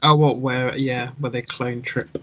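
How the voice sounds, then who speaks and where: A man's voice: medium pitch, flat delivery, unhurried, sharp tone, muffled recording. A man with a mid-range voice joins in casual podcast talk. He speaks informally and searches for the word he wants as he goes. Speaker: podcast panelist. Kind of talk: casual chat.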